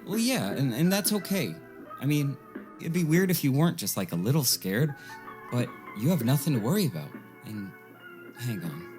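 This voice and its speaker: A woman playing a washed-up, self-doubting rock frontman in an audio drama, with casual, halting speech. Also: extremely low pitch, sing-song, over music. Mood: reassuring. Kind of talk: naturalistic audio drama dialogue.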